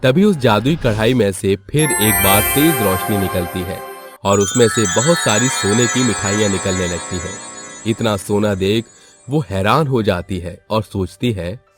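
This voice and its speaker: A man's voice: fairly low pitch, measured pace. A man narrating a scripted moral story for children. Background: background music.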